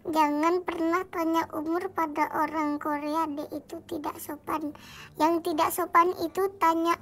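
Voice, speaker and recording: A woman's voice: extremely high pitch, little pitch movement, normal speed, a bit bright, slight background noise. A young Indonesian woman with an Indonesian accent, chatting playfully on a phone live stream in broken English, Korean and her own language. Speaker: livestreamer. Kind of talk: casual livestream chat. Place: indoor room at home. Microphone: phone at arm's length.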